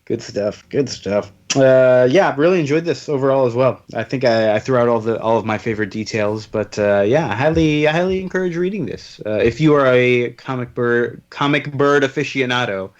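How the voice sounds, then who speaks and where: A man's voice: medium pitch, a little fast, hardly any pauses, muffled recording. A man hosting a comic book podcast, chatting casually and jokily with lots of fillers. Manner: laid-back, jokey, rambling with many fillers. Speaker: podcast host. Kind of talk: casual chat.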